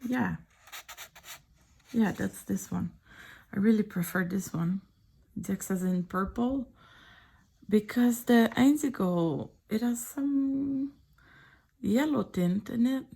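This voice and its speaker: Soft-spoken French woman, gravelly voice. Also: mid-range voice, slow, pauses often. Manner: soft-spoken, often whispering. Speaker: artist showing her sketchbook. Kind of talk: casual chat.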